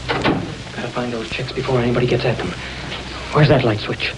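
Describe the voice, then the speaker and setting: An actor playing a crooked, excitable secretary who blusters in a 1930s radio crime drama. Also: male voice, medium pitch, moderate pace, natural voice. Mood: anxious, muttering. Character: crooked, panicky male secretary to a judge. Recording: noisy old radio recording.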